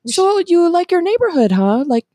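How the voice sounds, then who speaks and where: A woman's voice: very high-pitched, big pitch swings, moderate pace, dark tone, no echo. A woman co-hosting a casual food-tasting chat, telling stories and laughing often. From US Bay Area. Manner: chatty storyteller, laughs often. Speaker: co-host tasting candy.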